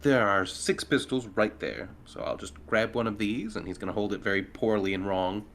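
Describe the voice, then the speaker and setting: A man's voice: on the low side, moderate pace, a bit bright. A man playing a tabletop roleplaying game with casual talk, in and out of character. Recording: background noise, room ambience.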